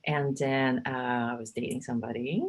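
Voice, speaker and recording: Casting: low-pitched middle-aged woman from Taiwan, chatty guest, laughs easily. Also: fairly even intonation, moderate pace, slight background noise. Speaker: guest, real estate agent. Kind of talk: casual interview chat.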